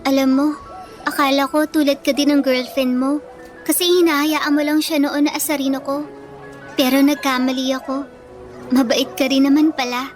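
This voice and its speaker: Woman acting a high-voiced, meek bullied young woman. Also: flat delivery, moderate pace, faint music behind, natural voice. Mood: hesitant, grateful. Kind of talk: Filipino radio drama acting.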